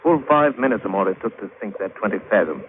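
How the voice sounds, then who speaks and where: Deep-voiced sailor spinning a yarn. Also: male voice, sing-song, fast talker, slight background noise. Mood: brooding, nostalgic. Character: British sailor George, weathered diver telling his sea tale. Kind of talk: old-time radio drama storytelling. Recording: tinny old radio recording with music.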